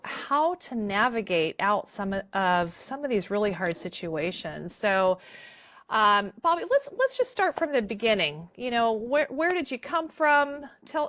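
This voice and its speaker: A middle-aged woman hosts an interview over a video call, speaking conversationally and haltingly, often repeating her phrases. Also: medium pitch, a bit bright, no echo, studio quality. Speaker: interview host. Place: indoor room on a video call. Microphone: wired earbuds headset.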